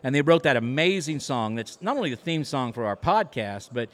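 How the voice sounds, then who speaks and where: A man's voice: medium pitch, sing-song, fairly quick. An American man from a small town, a pastor talking casually as a podcast co-host before a live audience. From small-town United States.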